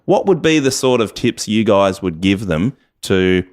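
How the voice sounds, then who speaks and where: Aussie guy hosting an interview, lowish voice, chatty questions. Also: lively intonation, a little slow, darkish tone. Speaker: interview host.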